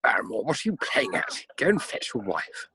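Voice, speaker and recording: A man playing a genial, easily flustered gentleman heir in a comic amateur radio play, husky and theatrical. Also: medium pitch, wildly swooping pitch, brisk, heavily acted. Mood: puzzled.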